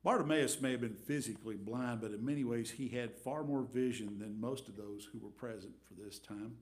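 A middle-aged man preaching a storytelling sermon from a church pulpit, swinging between big acted-out crowd voices and quiet asides. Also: mid-range voice, normal speed. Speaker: pastor. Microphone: headset mic.

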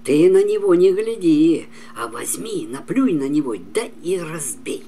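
A man's voice: a little high, big pitch swings, measured pace, mellow, pitched above the performer's own voice. An older man voicing a bold, boastful young folk-tale warrior in a declamatory way. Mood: boastful, bossy. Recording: clearly noisy home recording.

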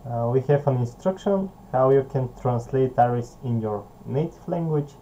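A young man with a Bulgarian accent, not a native English speaker, leading a screen-recorded software tutorial in a halting way, with fillers and soft laughs. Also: mid-range voice. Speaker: software company founder. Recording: dull, lo-fi home recording with faint hiss.

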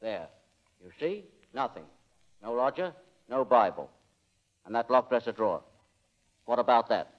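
An actor playing a blandly evasive, unflappable director in a dry, unhurried 1940s radio manner. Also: male voice, mid-range voice, big pitch swings, close to an everyday voice. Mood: dry, dismissive. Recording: dull 1940 radio broadcast recording.

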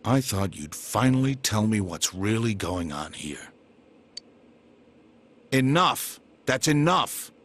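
A man's voice: fairly low pitch, big pitch swings, takes it slowly, long pauses, fairly bright tone, full character voice. An actor playing a stern, protective middle-aged detective father in a video game, firm and blunt, with an American accent. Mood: angry, demanding. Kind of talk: English video game voice acting.

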